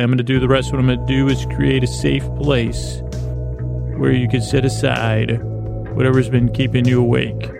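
A man hosting a sleep podcast, rambling softly in a halting, self-correcting way with many pauses. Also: medium pitch. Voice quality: creaky, soft, halting with many restarts. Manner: meandering, self-correcting, drowsy pace. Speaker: podcast host.

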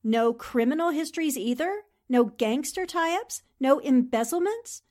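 An actress playing a brisk, determined newspaperwoman in a 1940s-style radio drama, asking questions in a crisp, probing, composed manner. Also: high voice, lively intonation, a little mellow. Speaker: voice actor in an audio drama.

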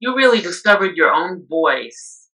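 Bright-voiced interviewer asking questions. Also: medium pitch, big pitch swings, measured pace, low-quality recording.

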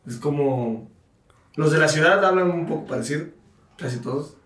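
A man chiming in briefly on a podcast chat, explaining things calmly. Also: a little high, normal speed, roomy sound, distant mic. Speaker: podcast guest. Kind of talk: casual chat.

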